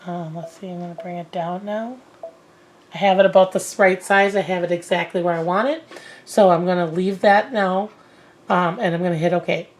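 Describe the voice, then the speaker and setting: A woman in her forties talks through a sewing lesson while getting over a cold. She rambles in a relaxed way, with plenty of ums and false starts. The camera mic catches the sound of a cluttered home sewing room. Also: lowish voice, brisk. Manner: chatty, many fillers and restarts. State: recovering from a cold. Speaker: sewing instructor. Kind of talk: casual how-to demonstration.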